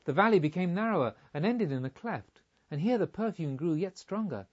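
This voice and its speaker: A man reading a literary story aloud as an audiobook narrator, in a measured, expressive storytelling manner. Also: sits high, muffled recording.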